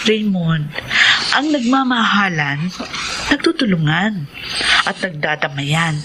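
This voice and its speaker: A woman's voice: fairly low pitch, expressive, takes it slowly. A woman playing a devoted, hopeful middle-aged woman in an earnest, reassuring radio drama style. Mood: tender, reassuring. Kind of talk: Filipino radio drama acting. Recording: noisy radio drama recording.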